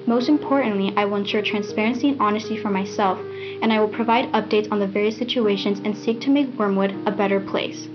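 A woman's voice: medium pitch, fairly even intonation, fast talker, over music, natural voice. A young woman playing an earnest, defiant teenage student giving a scripted campaign speech. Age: young adult. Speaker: election candidate. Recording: dull lo-fi 1990s VHS sound.

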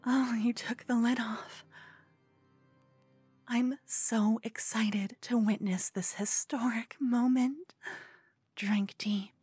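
Cooing, breathy yandere girl acted by a woman. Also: on the high side, fairly even intonation, slow, long pauses, darkish tone, soft background music, heavily acted. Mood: eager, teasing. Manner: breathy, coy, cooing, often whispering. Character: cute, obsessed yandere con woman, gleefully scheming and clingy. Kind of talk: ASMR roleplay.

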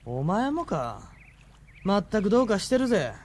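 An actor playing a teasing, brash high school boy in a radio drama. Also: big pitch swings, moderate pace.

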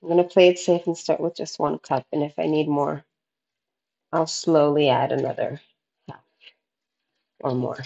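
A woman's voice: deep voice, fairly even intonation, moderate pace, gravelly, long pauses, warm tone, dull audio. A woman in her thirties casually explaining a recipe in an informal way, recorded in a home kitchen. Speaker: home cooking vlogger.

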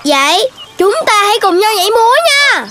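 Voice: extremely high pitch, very animated, normal speed, heavy background noise. An actor voicing an eager, childlike young animal friend in a children's cartoon, with a chirpy, exclamatory way of talking. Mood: cheerful, announcing. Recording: music behind the voice.

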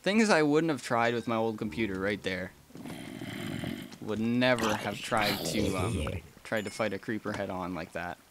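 A man's voice: fairly low pitch, lively intonation, normal speed, a bit bright. Chatty young man with a noisy mic. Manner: rambling and chatty, many ums, gasps and laughs while playing. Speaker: gaming channel host. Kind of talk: casual let's play commentary.

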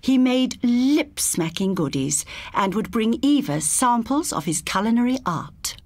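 A woman narrating a scripted short story as an audiobook reader, expressive and measured. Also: on the high side, no room sound.

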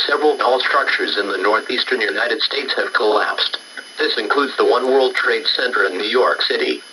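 A man's voice: mid-range voice, pushed and bright. A man reading a scripted emergency broadcast announcement as an alert announcer. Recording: noisy, distant broadcast-style recording.

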